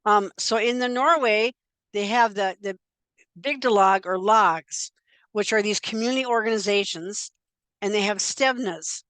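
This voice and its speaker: An older woman leads a relaxed genealogy talk, chatting along with plenty of little fillers. Her voice is smooth and clear. The sound is dry and close, with no room around it. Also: medium pitch, measured pace, no room sound. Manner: conversational and chatty, with frequent fillers. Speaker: genealogy presenter. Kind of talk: informal slide presentation.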